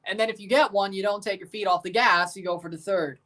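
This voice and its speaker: A man's voice: high voice, steady tone, fast, sharp tone. Young man joking through a live match. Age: 20s. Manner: casual, joking banter with viewers. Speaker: livestream host. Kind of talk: live match watchalong commentary.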